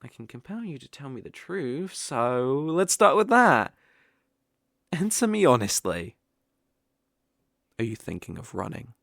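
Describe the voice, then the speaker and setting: A man's voice: mid-range voice, very animated, creaky, fairly bright tone, dry recording, clean studio sound, exaggerated voice. A British man playing a sly, possessive, lovestruck fox-spirit mage in an ASMR audio roleplay, coaxing and easily flustered. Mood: possessive, smug. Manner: coaxing, slow, with eager flustered outbursts.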